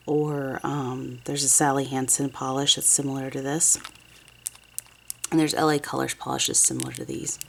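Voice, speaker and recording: A low-pitched woman in her thirties talks through a nail tutorial, sniffly from a head cold. She chats casually, wanders off track and corrects herself as she goes. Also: darkish tone, slight background noise. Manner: chatty, rambling, self-correcting. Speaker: nail art vlogger. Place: home desk, nail station. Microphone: overhead camera, hands only.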